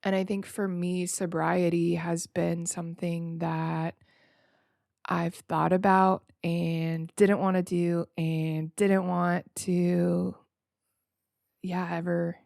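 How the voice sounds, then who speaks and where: A woman hosting a podcast alone in a casual, freestyle way, slightly nasal, reflective and rambling, often halting and repeating words. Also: lowish voice, level tone, vocal fry, a little mellow, no room sound, studio quality. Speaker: podcast host.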